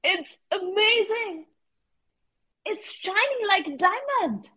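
A woman's voice: very high-pitched, big pitch swings, slow, sharp tone, heavily acted. A woman playing a curious, absorbed scientist in an audio drama, musing aloud to herself. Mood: excited wonder. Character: curious, absorbed female scientist experimenting alone. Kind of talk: amateur audio drama monologue.

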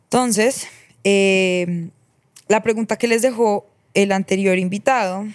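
A woman's voice: medium pitch, takes it slowly, no room sound, clean studio sound. A woman hosting a podcast, playful and teasing in casual chat. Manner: playful, teasing, laughs easily. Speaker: podcast host. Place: podcast studio.

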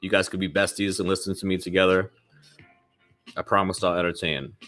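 Low-voiced man hosting a casual podcast. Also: little pitch movement, a little fast, fairly bright tone, soft background music. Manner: loose, rambling, swears casually. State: slightly nasal from a cold. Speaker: podcast host. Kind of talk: casual solo podcast talk.

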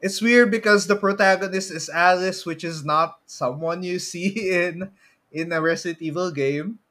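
A man with a Filipino accent chatting casually about movies as a podcast co-host, halting and prone to chuckling as he talks. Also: high-pitched, pushed and bright.

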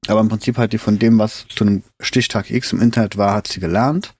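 A man co-hosting a podcast in relaxed, casual chat, explaining things plainly and laughing easily. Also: lowish voice, fast talker, breathy edge, no room sound, clean studio sound. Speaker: podcast co-host.

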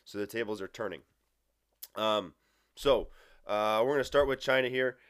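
A man giving a casual history lecture, full of uhs and restarts, his voice a bit nasal and raspy from allergies. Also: lowish voice, normal speed, fairly bright tone, no room sound, clean studio sound. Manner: filler-heavy, casual, frequent uhs and restarts. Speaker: history teacher. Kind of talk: recorded lecture.

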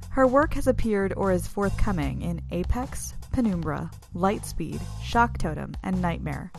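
A woman hosts a fiction podcast with a scripted introduction. She speaks slowly and sits slightly low in pitch for a woman. Music plays clearly behind her voice. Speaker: fiction editor and guest podcast host.

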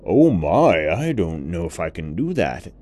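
A man voicing a sneaky, hungry male tiger who speaks in a polite, fussy and measured way. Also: deep voice, big pitch swings, mellow, voice pushed down, full character voice. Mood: hesitant.

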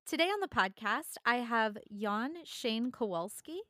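A woman's voice: on the high side, animated, measured pace, a bit bright, no room sound, studio quality. Friendly woman podcast host. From California. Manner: friendly, encouraging. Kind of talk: podcast interview.

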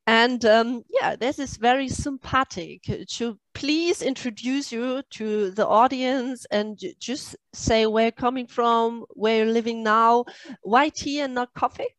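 A middle-aged woman hosts a casual interview. She speaks slowly in a slightly high voice, with halting phrasing. The sound is dry. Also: dry recording, poor audio. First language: German. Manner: halting phrasing in non-native English. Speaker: host interviewing a guest.

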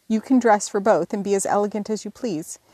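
A woman's voice: medium pitch, brisk, warm tone, lightly acted. A woman narrator plays a blunt, stubborn older sister who grumbles wryly in her own plain voice. The sound is close and dry, with no echo. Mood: grumbling. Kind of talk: audiobook character reading.

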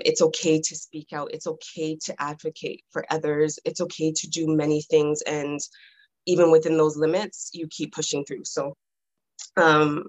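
A woman's voice: low voice, poor audio. Chatty woman with frequent laughs. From Toronto, Canada. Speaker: podcast guest. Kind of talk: casual self-introduction.

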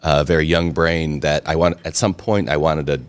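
A middle-aged man with a remarkably deep, low voice. He tells long stories in a relaxed, chatty way, often joking at his own expense. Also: normal speed, clear, resonant tone. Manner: chatty, self-deprecating humor, frequent restarts. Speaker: interview guest, restaurant and brewery owner. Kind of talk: casual storytelling interview.

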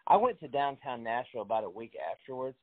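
A man's voice: mid-range voice, animated, fast talker. A man hosting a casual interview, asking rambling, eager questions full of fillers. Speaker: interview host. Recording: phone-like narrow sound.

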